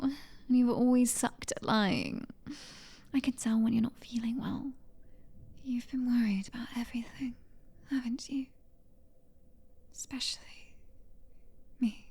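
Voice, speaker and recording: A woman performs a young female vampire in an ASMR roleplay, a devoted and eerily childlike sister with a British accent. Her voice is very high yet mellow, often sinking into breathy whispers. She speaks slowly and haltingly, tender and pleading. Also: full character voice. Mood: tender, reassuring.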